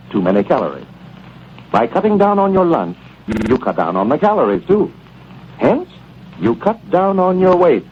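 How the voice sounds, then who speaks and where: A man's voice: on the high side, slightly rough. A man announcing in a folksy, chatty 1940s radio commercial style. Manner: folksy, chatty sales talk. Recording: dull old radio broadcast with faint hiss.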